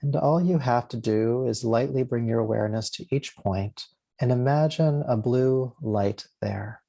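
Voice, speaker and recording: A man leading a guided meditation as a yoga nidra teacher, speaking gently and soothingly in spaced, unhurried phrases. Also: mid-range voice, vocal fry.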